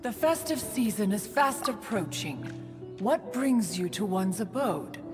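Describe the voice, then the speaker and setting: A woman's voice: medium pitch, expressive, unhurried, close to an everyday voice. Woman as a haughty, echoing ancient immortal. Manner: imperious, archaic, calls herself "one". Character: haughty ancient female immortal adeptus, aloof, wry. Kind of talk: video game voice acting. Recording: long hall reverb, music behind.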